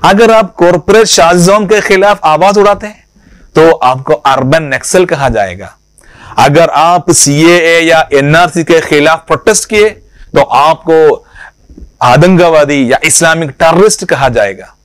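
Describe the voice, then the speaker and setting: A man who leads an organization delivers a political public address to supporters, speaking in a deliberate, emphatic way and often posing rhetorical questions. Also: a little high, dry recording.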